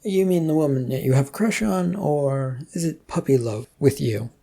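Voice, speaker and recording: A narrator as Zip Zog, a needling husky god. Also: mid-range voice, moderate pace, mellow. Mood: teasing. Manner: needling, insistent. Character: Zip Zog, pushy, mischievous, evasive god. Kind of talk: amateur story reading in character.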